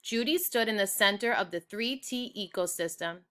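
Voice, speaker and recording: A woman reads a children's book aloud. Her voice is bright and sits at an average pitch. She narrates expressively and addresses her young listeners directly. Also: moderate pace.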